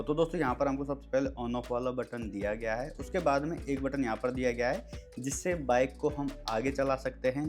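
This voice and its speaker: A man's voice: mid-range voice, darkish tone. A man giving a casual, step-by-step product review, addressing viewers as friends. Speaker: product reviewer and video host.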